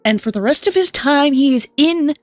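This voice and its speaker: A woman giving casual, gushing gameplay reaction commentary, excitable and prone to swearing. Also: high voice, normal speed, no echo. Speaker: gaming content creator. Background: game music and sound.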